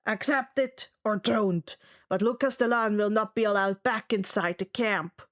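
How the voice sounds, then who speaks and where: Woman voicing a gruff, irritable male camp director. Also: medium pitch, a little slow, natural voice. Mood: commanding. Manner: curt, stern, dismissive. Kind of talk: audiobook-style character dialogue.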